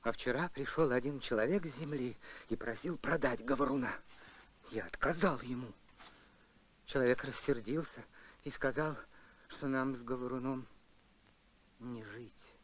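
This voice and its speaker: A man voicing a kindly, sorrowful bird keeper in an animated film, talking in a soft, sad way. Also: mid-range voice, normal speed, long pauses, lightly acted. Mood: somber. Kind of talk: Soviet animated film acting.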